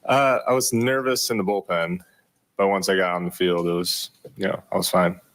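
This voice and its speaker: A young man answering reporters after a game, with a very low and flat voice. He sounds modest and low-key, pausing often with ums. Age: young adult. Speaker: rookie pitcher. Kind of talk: postgame media interview.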